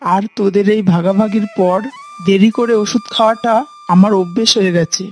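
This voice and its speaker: Cartoon elderly mother-in-law, played by a woman: mid-pitched, gentle, soothing, resigned, light music underneath. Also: fairly even intonation, moderate pace, lightly acted. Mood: gentle, placating. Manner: placating, resigned. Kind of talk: cartoon moral story voice acting.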